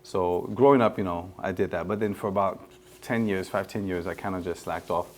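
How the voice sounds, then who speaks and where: A man in his forties with a mixed Caribbean accent, a guest talking earnestly with many halting fillers. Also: low voice. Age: 40s. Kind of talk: casual chat.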